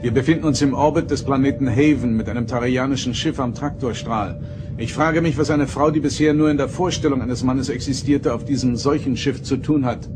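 A grave, authoritative starship captain played by a man in a radio play. He speaks formally at an ordinary male pitch, with loud music underneath. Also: brisk, natural voice. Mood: grave, concerned. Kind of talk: German radio play acting.

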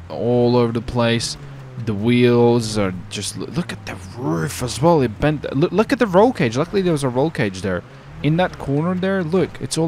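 A man with a creaky voice at middle pitch talks through a video game roleplay. He is casual and exclamatory, swearing now and then, with faint noise of game vehicles behind him. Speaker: gamer, roleplay video host. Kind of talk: in-character game roleplay chat and commentary. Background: game ambience and vehicle sounds.

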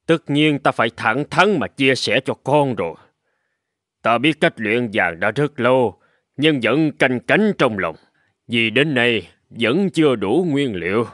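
Calm shrewd older father-in-law in a cartoon, solemn n measured, very dry sound. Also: medium pitch, no echo. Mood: solemn, assured. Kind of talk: cartoon voice acting.

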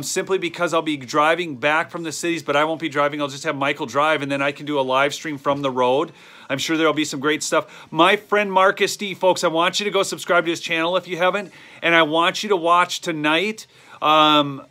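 Bright-voiced Minnesotan man rambling to viewers. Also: a little high. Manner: rambling, many ums and uhs, talks to viewers directly. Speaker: channel host. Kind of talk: casual live stream chat. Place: small wooden shed or garage, indoors. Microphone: phone camera held close.

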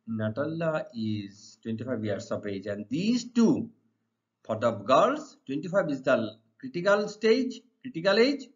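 A middle-aged man with an Indian accent, teaching English to students in deliberate, emphatic, repetitive phrases. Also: medium pitch, big pitch swings. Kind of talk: exam lesson explained to students. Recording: dull, lo-fi sound.

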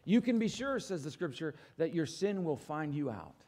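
A man's voice: fairly high pitch, big pitch swings, normal speed, creaky, a little mellow. Man preaching emphatically in church. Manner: emphatic preaching with dramatic volume swings, asks the congregation for assent. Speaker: pastor. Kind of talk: sermon. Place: church stage with congregation.

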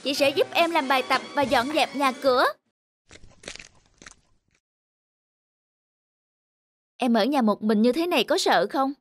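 A woman's voice: high voice, a little fast, faint music behind. A woman voicing a polite, conscientious young female student tutor in a cartoon, earnest and firm. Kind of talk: cartoon voice acting.

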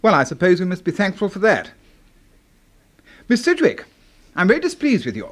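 Pompous English brigadier, theatrically pitched. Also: male voice, huge pitch swings, normal speed, full character voice. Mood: coolly commanding. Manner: pompous, clipped, commanding. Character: pompous, deranged brigadier boss, secretly a serial killer. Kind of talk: 1970s BBC radio horror drama acting.

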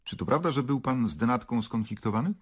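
A man's voice: mid-range voice, expressive, fast talker, husky. An actor playing a stern, probing male prosecutor in a radio play, formal and accusatory. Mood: cool, official. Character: stern male prosecutor interrogating murder suspects, cool and probing.

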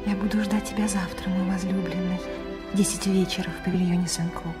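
An actress playing an anxious, devoted young woman secretly in love in a radio drama, with breathy urgency. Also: fairly low pitch, little pitch movement, fairly quick, music in the background, natural voice. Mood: tender, urgent.

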